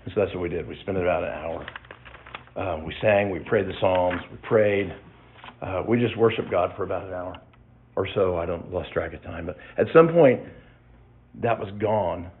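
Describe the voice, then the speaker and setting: A man in his sixties giving a personal testimony to his church, reflective and halting, with many restarts. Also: low voice, a little hiss or hum. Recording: tinny phone-like sound.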